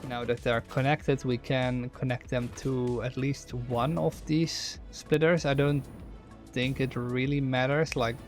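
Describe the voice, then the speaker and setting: A man's voice: medium pitch, level tone, measured pace, faint music behind. A young Dutch man with a Dutch accent, thinking aloud and chatting with viewers while playing a factory-building game on stream. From Netherlands. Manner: thinks aloud, restarts words, reads chat questions aloud. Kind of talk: gameplay commentary while reading chat.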